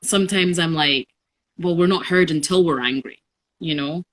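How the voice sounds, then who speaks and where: A woman in her twenties with a notably low, deep voice, talking through her thoughts on a podcast. She is reflective and unhurried, with plenty of ums and restarts as she works out what she means. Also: fairly bright tone. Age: 20s. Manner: thoughtful, reflective, many fillers. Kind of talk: casual conversation.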